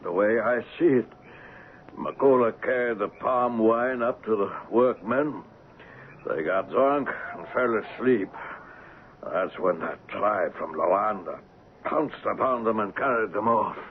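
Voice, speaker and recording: An actor in a radio drama playing a weak, feverish colonial trader who talks in a halting, earnest, troubled way. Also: male voice, lowish voice. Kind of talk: old-time radio drama acting. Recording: muffled, noisy vintage radio broadcast.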